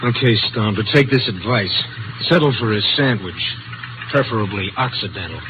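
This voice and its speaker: An actor in a 1950s radio drama playing a tough, wary police officer, curt and commanding. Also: male voice, fairly low pitch, expressive, normal speed, lightly acted. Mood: tense warning. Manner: curt, barking orders. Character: brusque, wary Chicago beat cop, tough and commanding. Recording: noisy muffled old radio recording.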